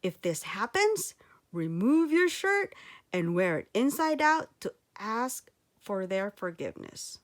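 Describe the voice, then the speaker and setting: A woman reading a children's storybook aloud with expressive narration. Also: medium pitch, slow. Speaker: storybook reader.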